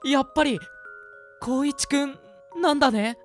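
A man voicing the gentle, cautious ghost of a sixteen-year-old boy in a radio drama, earnest and reassuring. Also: very high voice, unhurried, faint music behind. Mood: tender recognition. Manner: soft-spoken, earnest, reassuring.

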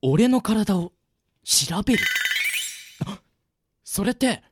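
A woman's voice: medium pitch, animated, takes it slowly, darkish tone, slight background noise. A woman playing a cheeky, girl-crazy teenage boy with brash, overdramatic comic delivery in an anime-style radio drama. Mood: eager, whiny. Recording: background music under the voice.

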